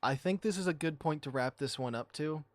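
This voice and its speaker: A man's voice: a little high, a little fast, no echo. A man reading a visual novel aloud as a channel host, in a storytelling style with casual commentary.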